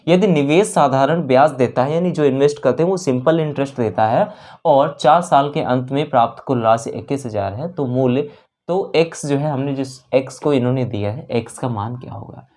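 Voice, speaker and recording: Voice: medium pitch, fairly quick, mellow. An Indian maths teacher explains an exam problem step by step, repeating phrases and figures, mixing in English terms and often checking in. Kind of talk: exam-prep maths lesson, working through a problem step by step.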